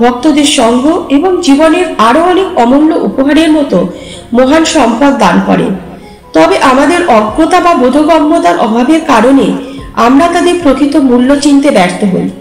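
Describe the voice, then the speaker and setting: A woman storyteller and channel host reading a scripted story aloud. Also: sits high. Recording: echoey, long reverb, music underneath. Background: music.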